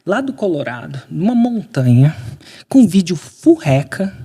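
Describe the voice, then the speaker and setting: A man from Brazil, a podcast guest, telling a story in casual conversation, animated and slangy, acting out quotes. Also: high voice, measured pace, slightly rough.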